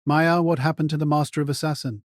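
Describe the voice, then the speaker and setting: Audio drama role: calculating mercenary mage, male actor, terse and controlled, lightly pitched, very dry recording. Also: steady tone, quick pace, dry recording, natural voice. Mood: cool, analytical. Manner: terse, clipped, controlled commands. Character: Kiritsugu, cold, calculating male mage mercenary giving orders.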